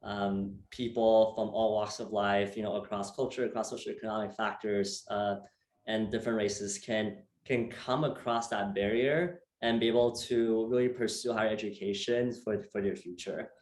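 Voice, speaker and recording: A man's voice: on the low side, level tone, moderate pace, a little mellow. Young guy from taiwan hosting a chat, upbeat, lots of ums, echoey room. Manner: many fillers, repeats words, upbeat. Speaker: host. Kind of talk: casual interview chat.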